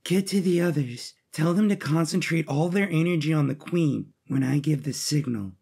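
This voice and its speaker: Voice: mid-range voice, moderate pace, creaky, dark tone, slightly rough. A man voicing a tough, determined young woman rebel leader in an audio drama, terse and resolute. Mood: urgent, commanding.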